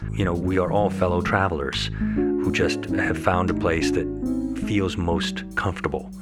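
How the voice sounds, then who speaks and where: A middle-aged man speaking thoughtfully in a documentary interview, pausing and restarting as he gathers his thoughts. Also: deep voice, steady tone. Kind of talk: reflective personal interview. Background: loud guitar music.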